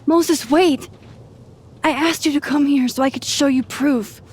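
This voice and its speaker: Earnest young woman, higher-pitched. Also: a little mellow. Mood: urgent, pleading. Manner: halting, earnest, pleading. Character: earnest, anxious young woman with secret timeline-hopping power. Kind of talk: naturalistic video game drama acting.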